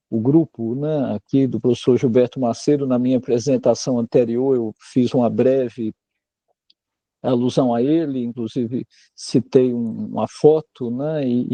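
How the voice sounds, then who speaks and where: A man from Alagoas, Brazil, lecturing on neurology over a video call. His voice is gravelly and very dark, and the sound is dry and close. He speaks in a measured, courteous way, full of fillers. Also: medium pitch, no room sound, highs cut off. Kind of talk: online lecture.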